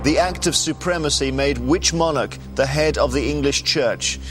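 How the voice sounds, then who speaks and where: A man's voice: on the high side, normal speed, fairly bright tone, faint music behind. A man hosting a TV quiz show, reading questions and teasing contestants with dry banter.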